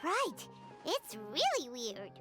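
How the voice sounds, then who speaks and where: A woman playing a tiny, childlike fairy companion in a video game, cute, excitable and squeaky. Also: slow pace, music in the background, full character voice. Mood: curious. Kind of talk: video game character acting.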